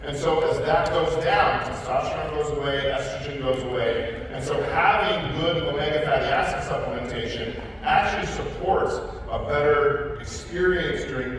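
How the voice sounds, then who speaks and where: A man on a panel explaining health topics with confidence, speaking straight to the audience. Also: fairly high pitch. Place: large conference hall. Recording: echoey hall, speaker far from mic, noisy.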